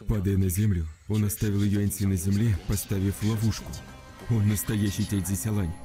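A man playing a serious, authoritative martial guardian in a dubbed animated fantasy, stern and instructive. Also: lowish voice, normal speed, music in the background, natural voice. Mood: grave, urgent.

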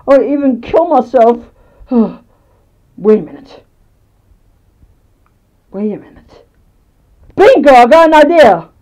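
A woman's voice: high-pitched, expressive, takes it slowly, long pauses, warm tone. A woman voicing a jealous, scheming unicorn superfan, melodramatic and muttering, in a homemade comedy skit. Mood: scheming, desperate.

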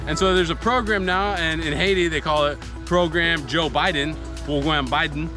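A man's voice: fairly high pitch, normal speed. A man in his forties giving a casual, upbeat video update outdoors by a cornfield, with filler words and music behind. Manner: upbeat, chatty, frequent fillers. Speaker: farm nonprofit founder.

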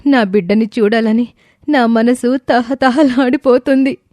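A scheming mother, played by a woman in an audio moral story, imploring with theatrical sorrow. Her tone is mellow and the sound is dry and close. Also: on the high side, a little slow, no room sound, close to an everyday voice. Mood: anguished pleading. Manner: emotional, imploring. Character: deceitful mother pleading with fake tears.

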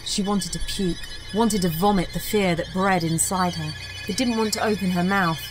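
A woman's voice: fairly low pitch, fairly even intonation, normal speed, music in the background, low-quality recording. A woman reading a scripted horror audiobook as narrator, with a dramatic, suspenseful delivery.

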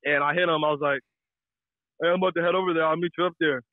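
A man's voice: on the high side, fairly even intonation, rapid-fire, no echo, narrow, tinny audio. A young American man, a caller casually telling a personal story in slangy, profane language.

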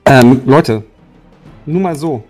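A man's voice: mid-range voice, slow pace, a little mellow, soft background music. A man playing in a tabletop game, laying out battle tactics to the others. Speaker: player. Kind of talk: tabletop strategy discussion.

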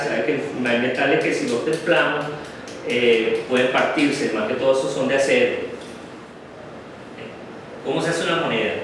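A middle-aged man gives a slide presentation in a classroom, talking unhurriedly and often checking in with "ok?". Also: a little high. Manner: unhurried, many "ok?" checks with the audience. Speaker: guest lecturer. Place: darkened classroom with projector screen. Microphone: far camera. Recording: very echoey room from far off, noisy.

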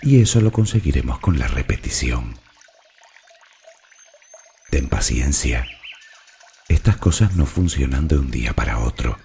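A man from narrating a guided meditation in a slow, calm, soothing and deliberate way. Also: extremely low pitch, gravelly, a little hiss or hum.

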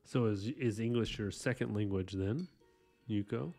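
A man whose first language was Korean talks in a deep, easygoing ramble to his live chat from a home art desk. He fills pauses with "um" and "like", and soft music sits faintly underneath. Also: gravelly. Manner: relaxed, rambling, many fillers. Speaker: artist streamer. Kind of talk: casual chat with live chat while painting.

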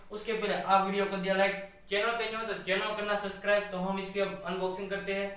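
Young adult man, high-pitched voice, casual host delivery, very echoey distant recording. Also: a bit bright. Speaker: video host. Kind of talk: casual presenting to camera. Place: small bare indoor room. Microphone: camera mic a few steps away. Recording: very echoey room, off mic.

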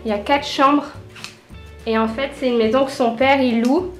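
A young woman with a high voice gives an excited, exclamatory tour for a holiday vlog. She records on a handheld camera in echoey villa rooms. Music plays along with her talk. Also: moderate pace. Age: 20s. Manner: enthusiastic, exclamatory. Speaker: vlogger. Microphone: handheld camera at arm's length.

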